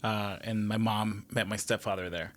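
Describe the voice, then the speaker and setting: A man from Mexico, a guest telling his life story in a relaxed, rambling way with many fillers. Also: fairly low pitch, moderate pace. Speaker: guest, restaurant general manager. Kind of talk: casual conversational interview.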